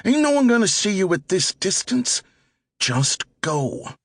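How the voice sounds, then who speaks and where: Man voicing a pushy teenage getaway driver, raised pitch. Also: sing-song, unhurried, fairly bright tone, no room sound, full character voice. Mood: dismissive, urging. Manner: brusque, slangy. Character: teenage male getaway driver, impatient, pushy, coarse robber.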